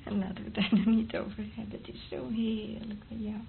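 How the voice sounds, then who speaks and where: A woman's voice: mid-range voice, flat delivery, brisk, creaky, lightly acted. An actress playing a vulnerable, guilt-ridden woman who secretly loves a priest, intimate and hesitant, in a Dutch radio drama. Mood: evasive, wistful. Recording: dull, lo-fi old radio recording, faint noise.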